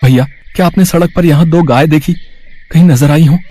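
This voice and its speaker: A man's voice: a little high, fairly quick, dark tone, a little hiss or hum, natural voice. A man playing a devout, anxious village husband in a story, speaking in an earnest, pleading way. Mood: worried, pleading. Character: devout, anxious village husband who loves his cows. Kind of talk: story voice acting.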